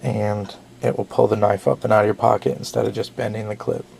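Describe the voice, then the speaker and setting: A man's voice: fairly low pitch, level tone, fairly quick, vocal fry, a little mellow, slight background noise. A man, a little sick and losing his voice, giving a rambling casual knife review with frequent self-corrections.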